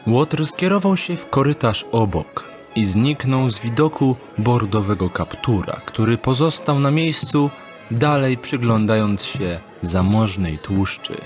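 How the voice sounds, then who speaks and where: Slow, measured male storyteller over music. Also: medium pitch. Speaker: narrator. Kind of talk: scripted audio drama narration of a dark fantasy story.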